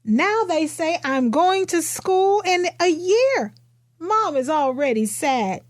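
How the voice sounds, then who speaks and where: A woman voicing a quiet, matter-of-fact young kindergarten girl who tells her own storybook tale in simple, expressive phrases. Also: very high-pitched, slow, no room sound, heavily acted. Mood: earnest, matter-of-fact.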